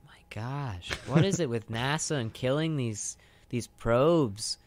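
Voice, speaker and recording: A man's voice: mid-range voice, slow pace, no echo. A young American man co-hosting a radio show, chatting casually and rambling with lots of "like" as a filler. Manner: rambling, heavy use of "like". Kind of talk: casual chat. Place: radio studio.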